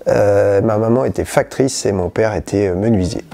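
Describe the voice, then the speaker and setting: Man in his 40s from Normandy, candidate and schoolteacher; halting, filler-heavy interview answers; low, warm, fry-touched voice. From Normandy, France. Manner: hesitant, many fillers and restarts.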